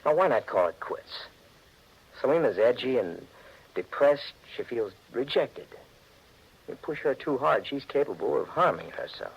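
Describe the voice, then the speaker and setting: Gravelly, insinuating man, old radio. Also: mid-range voice, sing-song, normal speed, exaggerated voice. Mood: cool warning. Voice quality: gravelly, husky. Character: insinuating man coolly warning a troubled husband. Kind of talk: 1940s radio mystery acting. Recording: dull old radio recording.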